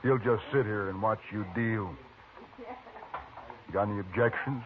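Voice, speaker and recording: An actor playing a swaggering, tough old-west card player in a 1950s radio western, taunting and sure of himself. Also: male voice, on the low side, a little slow, noisy background, lightly acted. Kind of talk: 1950s radio drama acting. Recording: tinny old radio transcription with music.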